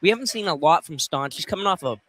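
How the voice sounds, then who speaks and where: A man giving conversational color commentary on a live basketball game, halting and rephrasing as he goes. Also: a little high, animated, fairly bright tone. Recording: slight background noise.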